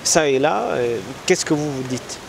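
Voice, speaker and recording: A man's voice: fairly high pitch, animated, a little slow, creaky, low-quality recording. A man asks the questions. He probes his guest, leaning on fillers as he builds each question. Faint noise sits behind him. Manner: probing, many filler sounds. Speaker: interviewer, host. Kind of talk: interview questions.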